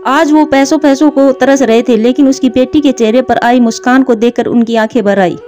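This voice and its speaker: A woman's voice: high voice, steady tone, normal speed, a little mellow, soft background music. A woman narrating a scripted children's story.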